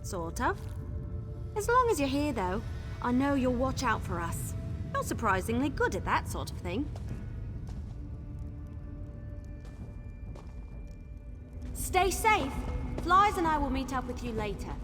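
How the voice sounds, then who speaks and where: A spirited young female scout from a fantasy game, speaks in a high, very lively voice. She is chatty and mischievous, yet protective of her comrades. Music plays underneath. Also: moderate pace. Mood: playful, warm. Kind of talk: video game character acting. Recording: game dialogue over background music.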